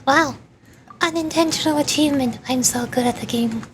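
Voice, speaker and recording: High-voiced woman streaming a game, music behind. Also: normal speed. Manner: playful, self-deprecating joking. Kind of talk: casual live gameplay commentary, chatting with viewers.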